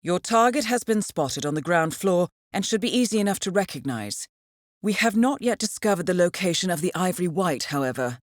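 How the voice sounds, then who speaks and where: An actress playing a cool, composed intelligence handler who briefs an assassin in a video game, speaking formally and with dry understatement. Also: female voice, fairly low pitch, expressive, brisk, dry recording, close to an everyday voice.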